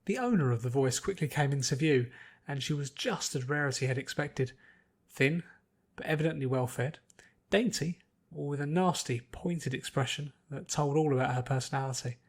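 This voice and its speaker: A man's voice: medium pitch, normal speed. A man reading scripted fantasy fiction aloud as its narrator.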